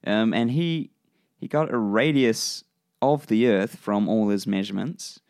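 A New Zealand man talks through science ideas in a relaxed podcast chat. His voice sits a little low, with plenty of gravel and fry. He pauses on ums and slips in dry jokes, recorded close with no room sound. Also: no room sound. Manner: relaxed explainer with dry humor, frequent ums. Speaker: podcast co-host.

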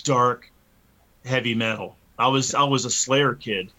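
A man in his early fifties with a bright, forceful voice at a middling pitch. He talks informally and earnestly as a musician being interviewed, often hesitating as he finds his phrasing. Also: measured pace, poor audio. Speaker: interview guest, metal band musician. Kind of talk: casual interview chat.

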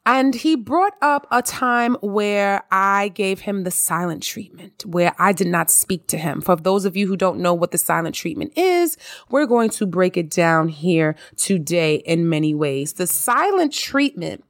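A married woman hosting her own podcast, talking in an expressive, emphatic monologue with plenty of repetition. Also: mid-range voice, measured pace, no room sound, studio quality. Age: adult, married. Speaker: podcast host. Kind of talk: casual monologue.

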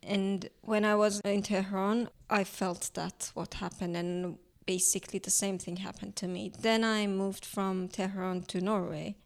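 A young adult woman from Iran, Turkish her first language, speaking English with an Iranian accent in a thoughtful, halting way as an artist in an interview. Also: mid-range voice, flat delivery. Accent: Iranian, speaking English as a later language. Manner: thoughtful, halting, searching for words. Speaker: artist guest. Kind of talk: reflective interview conversation.

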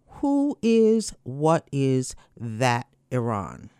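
A woman hosting a radio talk show, asking unhurried, inviting questions. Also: a bass voice, huge pitch swings, dark tone, clean tone, dry recording, studio quality. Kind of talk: interview questions.

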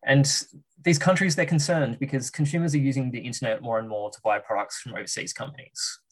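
A man in his thirties with an Australian accent, explaining policy as a podcast guest in a measured way, with frequent ums and uhs. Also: mid-range voice, fairly even intonation, low-quality recording. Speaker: research fellow, podcast guest.

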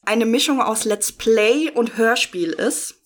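A woman with a fairly high voice talks as an interview guest. Her delivery is informal and conversational. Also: slow pace. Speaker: interview guest, role-play podcaster. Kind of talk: casual chat.

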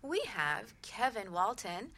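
A woman hosting a talk radio show, introducing and questioning guests in a lively way. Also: medium pitch, takes it slowly.

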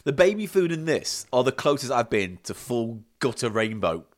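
A man's voice: medium pitch, big pitch swings, moderate pace. A middle-aged man, a little tipsy, co-hosting a bawdy podcast chat in a giggly, crude, teasing way. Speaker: podcast co-host.

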